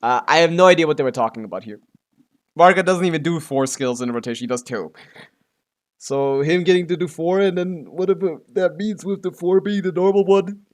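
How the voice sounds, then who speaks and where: A man's voice: on the high side, fairly quick. Casual, profane man livestreaming a game. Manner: profane, complaining. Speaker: live streamer playing a video game. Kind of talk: casual rambling gaming chat with viewers.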